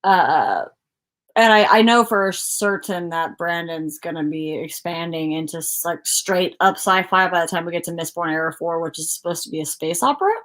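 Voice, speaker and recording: A woman's voice: on the low side, normal speed, fairly bright tone. A woman co-hosting a book livestream, chatting casually in a rambling, informal way. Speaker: co-host of a book discussion livestream.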